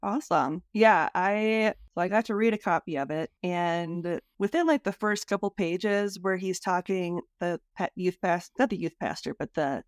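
A woman's voice: lowish voice, normal speed, few pauses, dry recording, studio quality. A woman hosting a podcast in a casual, conversational style. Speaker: podcast host.

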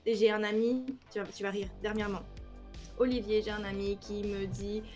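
A woman in her thirties from France, with a French accent and some Quebec expressions, explaining her work in a casual video-call interview with lively hand gestures. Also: mid-range voice, normal speed, faint music behind. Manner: animated, explains with many examples, gestures with hands. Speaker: cybersecurity trainer, guest. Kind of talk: casual interview on a live stream. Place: home room, indoors.

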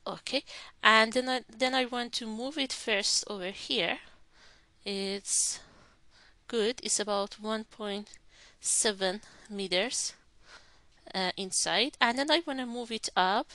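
A woman walking through a software tutorial step by step, thinking aloud as she clicks through the program. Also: on the high side, slow pace, no room sound. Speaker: instructor. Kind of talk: screen-recorded software tutorial.

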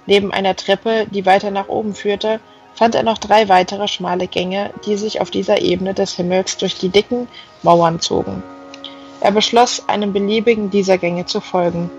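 A woman's voice: medium pitch, fairly even intonation, moderate pace, a little mellow, faint music behind. A woman reading a novel aloud as scripted narration, on a slightly dull recording. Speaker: audiobook reader.

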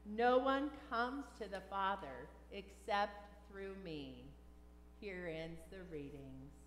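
An older woman, a pastor, reading solemn liturgy and scripture at a funeral in a measured, deliberate way. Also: mid-range voice, animated, slight background noise. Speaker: pastor leading a funeral service. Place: large church sanctuary, indoors. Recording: echoey church with long reverb.

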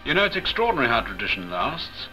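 A polite modest polish pilot, crisp english, fast and lively, old 1940s movie sound. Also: male voice, medium pitch, poor audio. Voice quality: crisp, well-spoken English. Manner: modest and courteous. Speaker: Polish Air Force flight lieutenant. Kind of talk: 1940s film acting. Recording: 1940s film soundtrack with music behind.

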